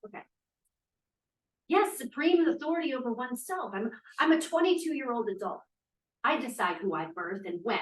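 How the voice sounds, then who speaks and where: A woman's voice: fairly high pitch, animated, moderate pace, lightly acted. A woman playing a defiant, free-spirited drama student of twenty-two in a play table read, arguing emphatically and theatrically. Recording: roomy, echoey, distant microphone.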